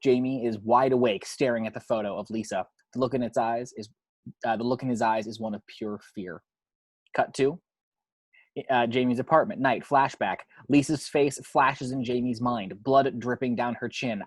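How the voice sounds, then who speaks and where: A man with a husky voice reading scripted screenplay action descriptions as narrator. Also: medium pitch, fairly even intonation, moderate pace, no room sound.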